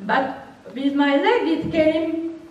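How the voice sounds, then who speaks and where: A woman's voice: high-pitched, slow pace, distant mic, lo-fi sound, slight background noise. Older Hungarian-accented woman, echoey hall. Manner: laughs often, frank and matter-of-fact. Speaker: workshop speaker. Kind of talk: answering audience questions. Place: large hall with seated audience. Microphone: handheld microphone, recorded from far off.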